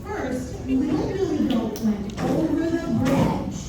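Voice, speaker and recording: High-voiced woman storyteller in an echoey room. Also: animated, slow. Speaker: storyteller narrating a children's puppet show. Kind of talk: live read-aloud fairy tale narration. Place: echoey room at a live preschool performance. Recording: distant, very echoey room with clear noise.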